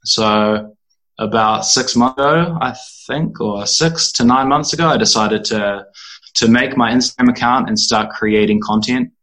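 A man's voice: mid-range voice, measured pace, fairly bright tone, poor audio. A young man with a New Zealand accent, chatting informally as a guest in a casual interview. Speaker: interview guest, animal rights activist.

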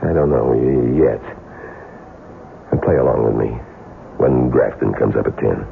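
An actor playing a cornered, scheming impostor in an old radio drama, halting and evasive as he repeats his words. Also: very deep voice, vocal fry, exaggerated voice. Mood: calculating. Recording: muffled, noisy 1970s radio recording.

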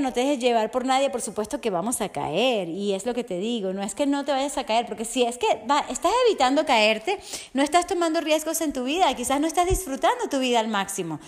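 A slightly hoarse woman speaking as a coach in an earnest, heartfelt motivational podcast monologue, often checking in with the listener. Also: on the high side. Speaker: resilience and fitness coach.

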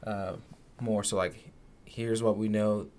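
A man's voice: lowish voice, level tone, slow pace, darkish tone. A young man from Zimbabwe, co-hosting a podcast, talking in an earnest, reflective way with many fillers. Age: young adult. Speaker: podcast co-host. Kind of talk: casual podcast conversation.

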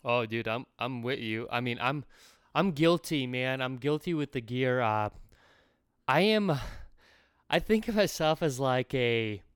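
Laid-back man from Latvia, smooth voice. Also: medium pitch, lively intonation, a little slow, no room sound, clean studio sound. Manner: laid-back, filler-heavy, drawn out. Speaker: podcast co-host. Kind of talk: casual podcast chat.

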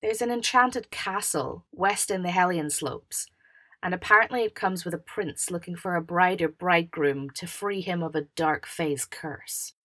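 A brash princely big brother voiced by a woman in a storybook audio drama. The voice is lowish, very bright and forceful, recorded dry, full of scheming, self-satisfied enthusiasm. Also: normal speed, no room sound. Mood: enthusiastic. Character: overbearing, scheming, enthusiastic elder brother in a royal family. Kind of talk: multivoice fan audio drama.

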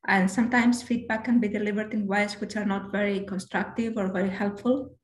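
A woman with a low voice gives careers advice in a measured, reflective way, often pausing to correct herself. Her pitch stays fairly flat and even. She sounds like she is in an echoey room. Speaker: guest.

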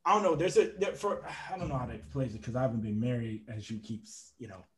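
A man's voice: mid-range voice, lively intonation, quick pace. A young man co-hosting a podcast, talking casually and laughing often, with hesitant restarts when he turns reflective. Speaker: podcast co-host. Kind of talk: casual conversational debate.

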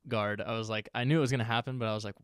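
A man's voice: fairly low pitch, steady tone, racing, smooth, no echo, clean studio sound. A young man co-hosting a podcast, chatting casually about movies, rambling and trailing off, laughing often. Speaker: podcast co-host. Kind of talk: casual chat.

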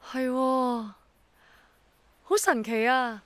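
Radio drama role: actress as a doubtful, curious young girl, Hong Kong accent, courteous and tentative, crisp voice, very dry sound. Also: high-pitched, fairly even intonation, very slow, fairly bright tone, dry recording, full character voice.

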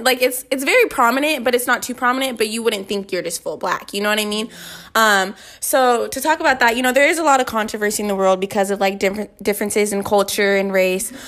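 A woman's voice: mid-range voice, fairly bright tone. An American woman interviewing in a casual, conversational way, with informal, loosely phrased questions.

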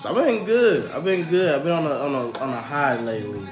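A young American man with a fairly high voice tells stories on a podcast in slangy, animated talk. The room has a slight echo, with faint music underneath. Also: fairly quick. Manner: slangy, animated, profane storyteller. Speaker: podcast co-host. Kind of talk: casual storytelling chat.

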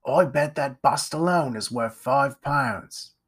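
A man voicing a greedy, scheming poor mother who speaks in a low, conspiratorial way in a storybook reading. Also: slow, fairly bright tone, breathy edge. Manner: low, conspiratorial, common speech.

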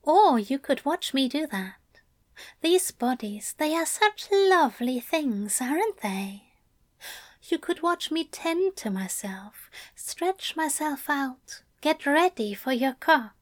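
A woman voicing a gentle, sly male angel who speaks in a soft, murmuring voice, lifting her pitch above her narration. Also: sing-song, slow, heavily acted. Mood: murmuring, coaxing.

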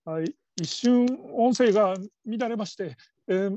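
A man's voice: sits high, a little slow, dark tone, a little hiss or hum. A man playing a flustered, middle-aged father who works as a call-centre operator, stammering in stiffly formal politeness in a radio drama. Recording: dull lo-fi audio.

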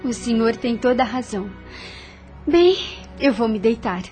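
High-voiced proper young widow, played by an actress. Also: female voice, lively intonation, normal speed, warm tone, soft background music, close to an everyday voice. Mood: polite, reserved. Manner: polite, formal, proper. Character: kind, reserved young widow of a wealthy farm. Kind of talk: radio soap opera acting.

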